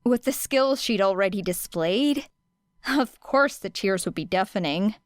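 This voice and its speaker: A woman reading a story as an audiobook narrator, with expressive, dramatic phrasing and deliberate pauses. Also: medium pitch, no room sound, studio quality. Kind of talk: expressive scripted fiction narration.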